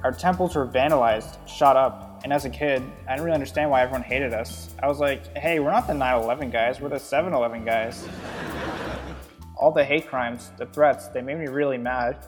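A wry stand-up comedian played by a man doing a routine. His medium-pitched delivery is matter-of-fact, carried on long reverb with faint music underneath. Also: a little fast, lightly acted. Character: deadpan male Sikh stand-up comedian.

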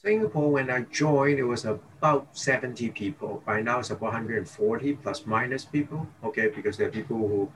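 This is a man from Hong Kong, speaking as a dentist guest in a relaxed interview. He talks in a friendly, rambling way and keeps checking in with the listener. A faint background noise sits under his voice. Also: medium pitch, brisk, few pauses. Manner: chatty, peppers speech with "okay?" and "you know". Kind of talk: casual interview talk.

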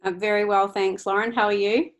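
A woman's voice: medium pitch, level tone, fairly quick. A woman in her thirties with a New Zealand accent, answering interview questions as a research scientist and talking thoughtfully with frequent ums and restarts. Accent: New Zealand, slightly Australian-tinged. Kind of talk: casual podcast interview.

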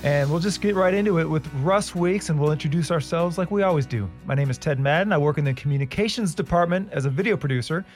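A man in his forties co-hosts a podcast in a chatty, joking way. His voice is fairly high, with faint music in the background. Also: fast talker, hardly any pauses, a little mellow. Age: 40s. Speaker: podcast co-host. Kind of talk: casual chat.

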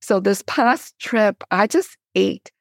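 A woman's voice: mid-range voice, animated, slow pace, gravelly, dry recording, clean studio sound. A middle-aged woman from Korea speaking enthusiastically as a guest in a conversational podcast interview. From born in Korea, immigrated in 1975. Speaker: podcast guest, theologian and teacher.

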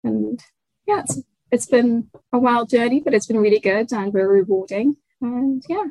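A woman's voice: on the high side, moderate pace, a little mellow, no room sound, rough recording. Thoughtful young woman, dry close sound. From Tanzania. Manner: thoughtful, hesitant with fillers and restarts. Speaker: research fellow guest. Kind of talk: conversational interview.

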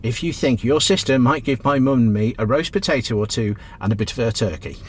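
An actor plays a middle-aged British cab driver in a radio drama. He is reassuring and plain-spoken, with very varied intonation at a medium male pitch. There is faint rumble in the background. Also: expressive, fairly quick, natural voice. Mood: kindly, insistent. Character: kindly, encouraging male taxi driver. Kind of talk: radio play acting.

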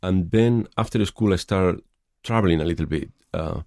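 A middle-aged man with a Spanish accent speaks in a deep, gravelly, mellow voice. He answers interview questions thoughtfully and often pauses on fillers. The sound is close and dry. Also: no room sound. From Spain. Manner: thoughtful, hesitant, many fillers. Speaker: film director.

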